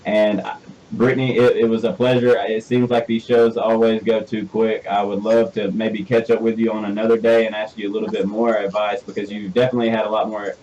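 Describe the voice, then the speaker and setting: A man in his twenties with a Southern US accent, hosting a casual interview and talking in a chatty, animated way with frequent restarts. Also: lowish voice, brisk, highs cut off, slight background noise. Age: 20s. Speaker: host.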